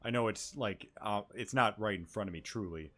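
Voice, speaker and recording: A man's voice: lowish voice, moderate pace, sharp tone. A man doing casual let's-play commentary in a laid-back, slangy way. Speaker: game streamer. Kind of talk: casual let's-play commentary, reading game text aloud. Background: game music.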